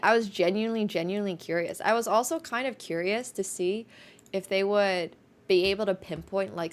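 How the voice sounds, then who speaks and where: A woman's voice: medium pitch, pushed and bright. A young woman from Finland with a light American accent, Mandarin her first language, chatting casually with her stream viewers in a slightly nasal voice. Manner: chatty, quick asides, laughs often. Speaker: live streamer.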